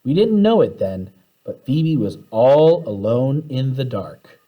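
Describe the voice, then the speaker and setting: A man reading a children's story aloud with expressive, dramatic narration. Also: mid-range voice, takes it slowly, dull audio. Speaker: story reader.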